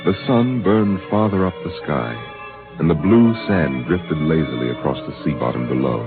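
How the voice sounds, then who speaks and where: A man's voice: a bass voice, normal speed, narrow, tinny audio, over music. A man giving solemn, descriptive scripted narration for a 1950s radio drama.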